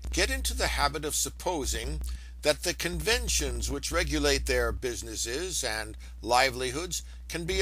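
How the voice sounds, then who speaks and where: A man reading a lecture aloud in a deliberate, emphatic way. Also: mid-range voice, sharp tone, low-quality recording. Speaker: reader of an audiobook lecture. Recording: home recording with faint background hum.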